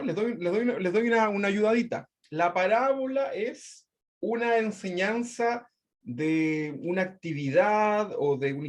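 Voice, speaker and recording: A man with a Chilean accent teaching an online Bible class. He repeats his points and keeps checking in with "¿verdad?". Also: high-pitched. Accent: Chilean Spanish. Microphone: video call.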